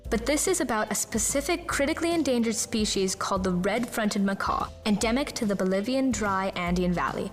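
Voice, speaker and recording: A woman's voice: mid-range voice, animated, brisk, hardly any pauses, soft background music. A young woman from Pakistan, a podcast co-host, explaining earnestly.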